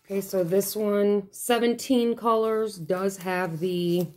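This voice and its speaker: A woman with a hoarse, failing voice chatting casually at her dining table as she unboxes craft kits, rambling and breaking off mid-thought. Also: medium pitch, fairly even intonation, slow, a little mellow, slight background noise. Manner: rambling, chatty, self-interrupting. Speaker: craft vlogger. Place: home dining room, seated at a table.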